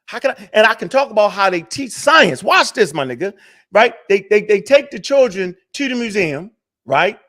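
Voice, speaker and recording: A fired-up man hosting a talk show, his voice sitting a touch high. He rants profanely and keeps restarting his sentences as he pushes his point. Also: very animated, moderate pace, fairly bright tone. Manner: fiery, profane, rambling with many restarts. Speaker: show host. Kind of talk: heated casual talk show rant.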